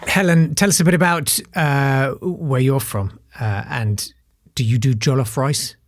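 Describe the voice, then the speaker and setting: A man's voice: mid-range voice, unhurried. Easygoing male podcast host. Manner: easygoing, chatty, hesitant fillers. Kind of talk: casual interview chat.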